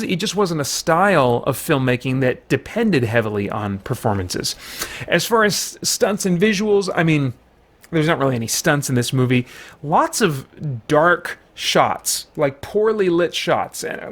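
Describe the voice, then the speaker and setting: A man's voice: on the high side, big pitch swings, normal speed, fairly bright tone. A man giving an unscripted review to viewers as the host, conversational and often correcting himself as he goes. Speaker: film reviewer, channel host.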